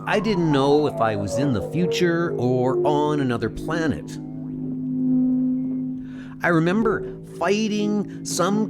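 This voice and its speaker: A man playing a shaken, earnest superhero in a comic dub, telling his ordeal in a halting, intense way. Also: on the high side. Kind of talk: dramatic comic dub monologue.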